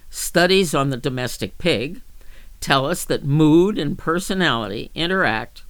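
A woman reading a magazine article aloud with careful, deliberate phrasing and emphatic stresses. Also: sits low, a bit bright, no room sound. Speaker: volunteer-style reader of magazine articles.

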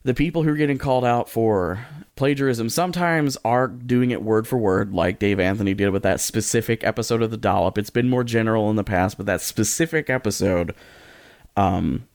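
A man's voice: lowish voice, brisk, darkish tone, clear, resonant tone, no room sound. A man co-hosting a podcast, giving casual advice in a rambling, jokey way and often interrupting himself. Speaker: podcast co-host.

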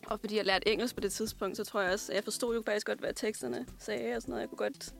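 A woman's voice: mid-range voice, fairly even intonation, gravelly, faint music behind. A woman from Denmark answering interview questions as a guest musician, casually and reflectively, feeling her way through her sentences. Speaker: guest singer, songwriter and pianist.

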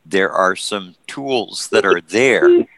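A man hosting a call-in podcast, taking callers and quick to agree with them. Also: deep voice, vocal fry, fairly bright tone, no room sound, rough recording. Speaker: host.

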